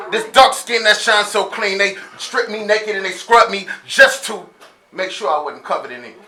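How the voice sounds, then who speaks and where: A man performing chanted, rap-like poetry with repeated rhythmic phrases at a live stage event. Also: high voice. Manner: repetitive, rhythmic hook delivery. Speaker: performing poet. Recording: live event with loud crowd.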